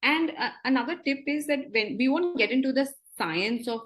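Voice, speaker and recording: A woman giving casual, punchy advice as an expressive communication coach. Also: high voice, moderate pace. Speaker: communication coach, podcast guest.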